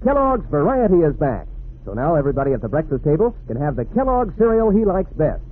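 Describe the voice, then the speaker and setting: A man's voice: a little high, very animated, quick pace, slightly rough. A man working as a 1940s radio announcer, selling to children in a folksy, enthusiastic way. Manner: folksy, peppy, enthusiastic salesmanship. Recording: narrow-band vintage radio recording.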